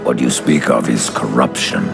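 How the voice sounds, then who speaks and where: An actor playing a grim, sardonic undead horseman in a video game, terse and dry with a gravelly voice. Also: very deep voice, normal speed. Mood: defiant. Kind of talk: dramatic video game acting. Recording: music in the background.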